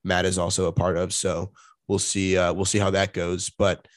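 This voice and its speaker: A congested man co-hosts a casual sports podcast. His voice sits low and barely moves in pitch. The sound is dry, with no room reflections. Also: moderate pace, no room sound. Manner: monotonous tone. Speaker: podcast co-host.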